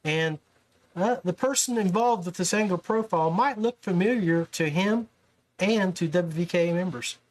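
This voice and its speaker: Man, higher-pitched voice, podcast host, casual interview chat, folksy, chummy. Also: normal speed, low-quality recording. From West Virginia, United States. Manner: folksy and chummy, often says man and brother.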